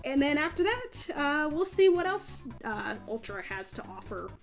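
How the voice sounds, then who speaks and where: A woman's voice: sits high, big pitch swings, normal speed, creaky, soft background music. A woman hosting a gaming video, giving chatty, self-deprecating let's play commentary in a casual style.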